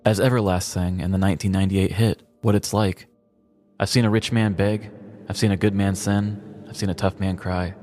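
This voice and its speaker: A man's voice: sits low, level tone, normal speed, a little mellow, dry recording, soft background music. A man co-hosting a podcast, moving between casual chat and scripted storytelling. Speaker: podcast co-host.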